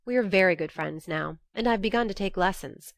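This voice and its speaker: A woman's voice: medium pitch, brisk, no echo, clean studio sound. A woman narrating an audiobook, reading a classic novel's first-person letters as scripted narration.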